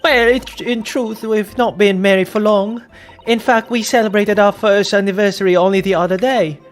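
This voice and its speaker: Man doing a high-voiced proud young wife. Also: steady tone, moderate pace, faint music behind, close to an everyday voice. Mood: proud, polite.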